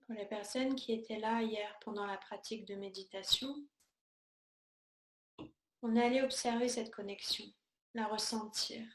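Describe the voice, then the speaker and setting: A woman teaching yoga, guiding a meditation in a calm, soothing, unhurried way with pauses between short phrases. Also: mid-range voice. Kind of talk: guided yoga and meditation instruction. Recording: echoey room sound.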